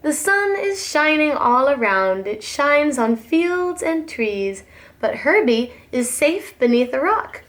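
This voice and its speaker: Lady doing a dreamy lovestruck girl slug poet from a storybook, high voice, slow singsong rhymes. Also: big pitch swings, a bit bright, full character voice. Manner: dreamy, singsong rhyming verse. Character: adoring female slug poet, dreamy and sweet-natured.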